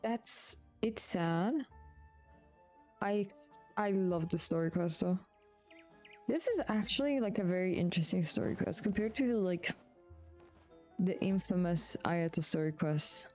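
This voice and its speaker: A woman live streaming, giving casual, halting commentary on a game. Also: on the low side, a little mellow, faint music behind.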